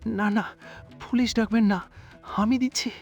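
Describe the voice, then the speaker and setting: A man's voice: sits high, fairly even intonation, measured pace, a little mellow, highs cut off, soft background music. A man voicing a miserly, scheming fox husband in a comic cartoon, theatrical and wheedling, given to exclamations. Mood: pleading, sheepish.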